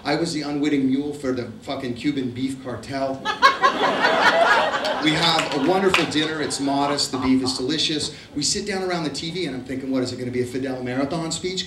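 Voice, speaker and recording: A man's voice: mid-range voice, sing-song, a little fast, heavy background noise. Wry, profane man telling a story. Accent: Argentine. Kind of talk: live comic storytelling. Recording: roomy, distant mic.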